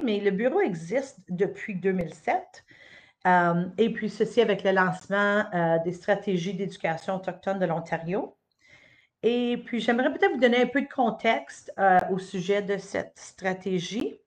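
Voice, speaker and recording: A middle-aged woman from Northern Ontario, giving an unscripted live presentation as an education officer, with frequent fillers and restarts. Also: medium pitch. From Northern Ontario, Canada. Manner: hesitant, many "euh" fillers and restarts. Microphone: webcam or computer mic on a live stream.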